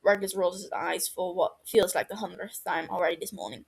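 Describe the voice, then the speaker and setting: A woman's voice: on the low side, fairly even intonation, brisk, creaky, breathy edge. A woman reading fan fiction aloud as scripted story narration.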